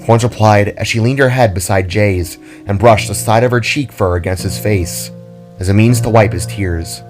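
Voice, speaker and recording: A man's voice: on the low side, moderate pace. A man narrating a dramatic scripted story as its storyteller. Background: music.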